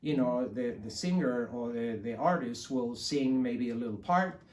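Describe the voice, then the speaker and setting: A man from Argentina with an Argentine accent and Spanish as his first language tells anecdotes as an interview guest, halting and repeating words, often checking with "right?". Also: medium pitch, a little mellow. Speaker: interview guest, composer and sound engineer. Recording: echoey room with faint background noise.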